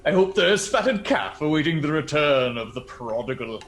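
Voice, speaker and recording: A man playing a sinister, grandiose prodigal nephew in a radio drama, pompous and deliberate. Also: a little high, very animated, a bit bright. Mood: smug, menacing. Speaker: radio play actor. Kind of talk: theatrical radio drama acting. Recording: roomy echo with faint music.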